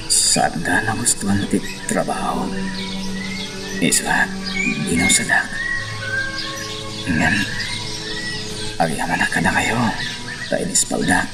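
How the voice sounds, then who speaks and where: Slow, deep-voiced humble Filipino man. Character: humble, grateful younger man, respectful listener to elder. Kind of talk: radio drama acting. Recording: loud music bed behind the voice.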